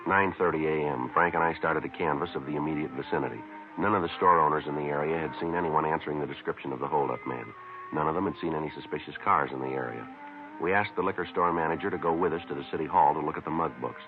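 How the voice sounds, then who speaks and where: An actor playing a terse, businesslike police detective who narrates his case in a clipped, deadpan, matter-of-fact way, in 1950s radio drama style. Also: rumbling low, fast talker, music in the background. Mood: deadpan narrating. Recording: lo-fi noisy 1950s radio recording.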